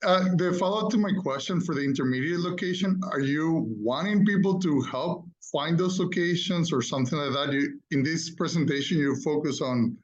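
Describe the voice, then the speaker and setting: A man's voice: fairly high pitch, moderate pace, hardly any pauses. A man from the Republic, casually and appreciatively asking questions as a participant on an online call. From Dominican Republic. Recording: dull, lo-fi online call audio.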